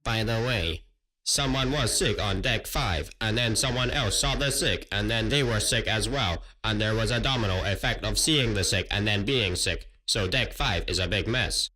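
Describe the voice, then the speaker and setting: An actor playing an officious robot janitor in a sci-fi comedy radio play, with formal, deadpan, dryly contemptuous delivery. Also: male voice, on the low side, normal speed.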